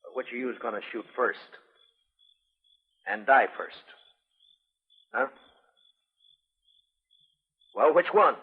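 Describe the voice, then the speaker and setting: An actor playing a firm, terse, commanding frontier marshal in a 1950s radio western. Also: male voice, medium pitch, lively intonation, takes it slowly, long pauses, breathy edge, heavily acted. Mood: stern, threatening. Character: stern, commanding frontier town marshal in the Old West. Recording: muffled old radio broadcast, long reverb.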